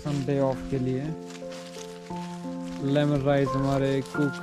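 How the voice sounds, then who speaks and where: A man's voice: mid-range voice, monotone, slow pace. A man from Himachal Pradesh narrating his outdoor day for a casual vlog, joking and laughing as he goes, filmed on a handheld camera. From Himachal Pradesh, India. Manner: jokey, laughing between remarks. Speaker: vlogger. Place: outdoors on a hillside. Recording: loud music over the voice.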